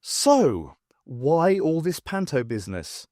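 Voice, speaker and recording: Male reader as brisk woman adventurer, sceptical, impatient, animated delivery, dry close audiobook sound. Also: on the high side, dry recording.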